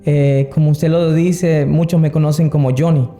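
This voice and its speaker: Very mellow, higher-pitched Salvadoran man. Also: flat delivery, normal speed, soft background music. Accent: Salvadoran Spanish. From San Salvador, El Salvador. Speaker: interview guest, worship singer. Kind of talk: personal testimony, casual storytelling.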